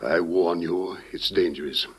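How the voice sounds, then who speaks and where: An actor playing a grave, dignified president with solemn, weighty oratory in an old-time radio drama. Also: male voice, deep voice, measured pace, a bit bright, slightly rough, exaggerated voice. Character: grave, dignified American president briefing a secret agent.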